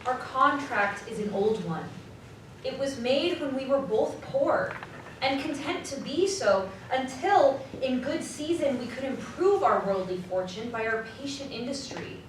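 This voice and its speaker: A woman's voice: sits high, lively intonation. A woman playing a sorrowful, earnest young fiancée in formal period dialogue for a live radio drama. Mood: sad reproach. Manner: earnest, measured, formal phrasing. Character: sorrowful young fiancée releasing her money-obsessed lover. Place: live community theatre stage. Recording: very echoey live hall, distant, faint noise.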